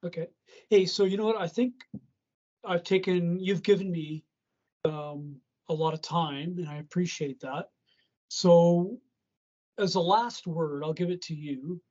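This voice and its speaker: A man's voice: sits high, fairly even intonation, measured pace, darkish tone. A man from North America interviewing a guest, asking questions politely and thanking him for his time. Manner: polite, easygoing, winds down with thanks. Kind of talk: phone interview. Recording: dull lo-fi line.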